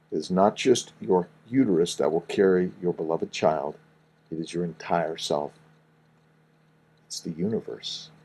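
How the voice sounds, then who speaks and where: Low, husky man reading gently. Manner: slow, soothing, earnest delivery with falling phrase endings. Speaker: fertility doctor reading his book. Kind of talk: reading aloud from his own book.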